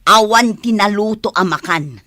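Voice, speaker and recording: A bright, sharp, nagging wife played by a woman, in a medium pitch. She scolds and complains in an Ilocano comedy radio drama, close and dry on the mic. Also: moderate pace, no echo, lightly acted. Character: nagging, irritated village wife scolding her lazy husband.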